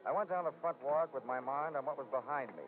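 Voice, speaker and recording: An actor playing a wry, flirtatious, hard-boiled insurance investigator in 1940s radio drama style. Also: male voice, medium pitch, fast talker, close to an everyday voice. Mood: wry narrating. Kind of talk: 1940s radio detective acting, first-person narration. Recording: scratchy, tinny 1940s radio recording.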